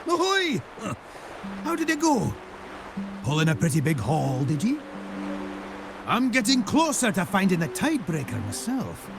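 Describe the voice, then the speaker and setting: An actor playing an eccentric, jovial old seafaring captain in a video game, gravelly and folksy. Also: male voice, high voice, a little slow, pauses often, over music, full character voice. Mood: hearty, rambling. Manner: folksy, animated, swooping delivery. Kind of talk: video game character acting.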